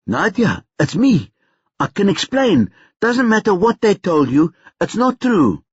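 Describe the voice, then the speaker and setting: Man voicing an anxious, high-pitched pleading young man. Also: sing-song, measured pace, breathy edge, dry recording, lo-fi sound, exaggerated voice. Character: frightened, pleading young man, desperate and shaken. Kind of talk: audiobook character dialogue.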